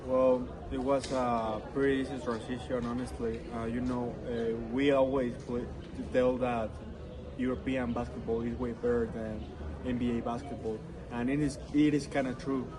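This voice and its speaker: A man's voice: medium pitch, level tone, normal speed, poor audio. A man answering interview questions conversationally, with hesitant, non-native English phrasing. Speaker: interview guest. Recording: busy outdoor crowd noise.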